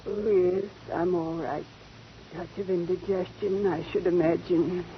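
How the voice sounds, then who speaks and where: A woman's voice: on the low side, steady tone, a little slow, close to an everyday voice. An actress playing an ailing, sentimental old society lady with a genteel, effusive manner in a 1940s radio drama. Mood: weak, grateful. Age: older. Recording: muffled, hissy 1940s radio recording.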